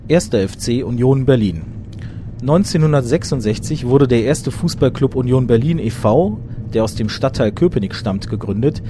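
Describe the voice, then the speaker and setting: A man narrating a scripted documentary in a measured, factual reading voice. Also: medium pitch, noisy background.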